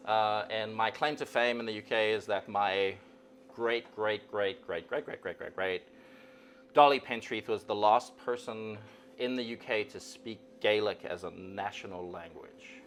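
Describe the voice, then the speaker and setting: A South African man talks casually as a guest in an interview, with a fairly low but bright, forward voice. His manner is playful and rambling, circling back over his words. There is some noise behind him in the recording. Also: unhurried. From South Africa and Zimbabwe. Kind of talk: casual chat.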